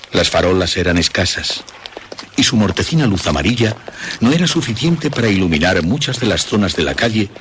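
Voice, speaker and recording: A man narrating a scripted horror story for radio in a measured, dramatic storytelling manner. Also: sits low, fairly bright tone, a little hiss or hum.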